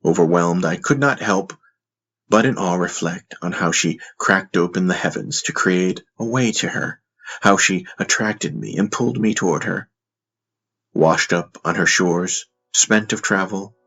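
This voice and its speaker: A man with a low, deep voice narrates an audiobook in a dramatic style. His delivery is solemn and measured, and soft music plays beneath his words. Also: lively intonation, slightly rough. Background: music under the voice.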